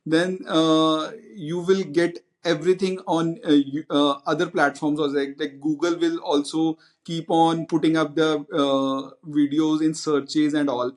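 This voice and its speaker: A man's voice: fairly high pitch, flat delivery, measured pace, few pauses. A man speaking hesitantly as a panel guest, with many fillers. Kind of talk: panel discussion.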